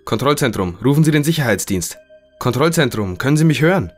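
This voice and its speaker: A man's voice: mid-range voice, animated, brisk, soft background music, lightly acted. A man playing a polite research scientist who interviews test subjects in a scripted audio drama. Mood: urgent.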